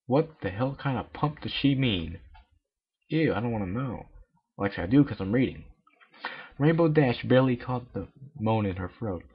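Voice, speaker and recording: A man's voice: medium pitch, expressive, moderate pace, breathy edge. A man reading fan fiction aloud in an informal, irreverent way with joking asides, on a muffled home recording.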